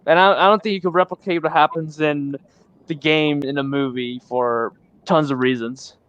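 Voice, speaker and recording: A man chatting on a podcast, speaking in a measured, thoughtful way. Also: fairly high pitch, steady tone, fairly bright tone, smooth. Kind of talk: casual chat. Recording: dry, slightly muffled mic.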